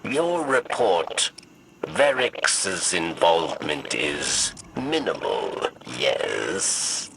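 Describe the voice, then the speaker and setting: An actor playing a wily, obsequious alien prison keeper in a video game, halting and speaking of himself in the third person. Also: male voice, low voice, sing-song, gravelly, fairly bright tone, a little hiss or hum, exaggerated voice. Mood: urgent. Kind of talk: scripted video game dialogue. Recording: music behind the voice. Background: game music.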